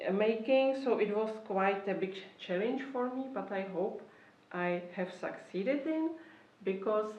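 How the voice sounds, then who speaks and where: A woman with a Czech accent explains policy as an official addressing online learners. Her medium-pitched voice moves carefully and haltingly through non-native English. The recording is roomy and slightly dull, picked up at some distance from the microphone. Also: a little mellow. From Czech Republic. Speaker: government youth policy official. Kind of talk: explanatory talk for an online course.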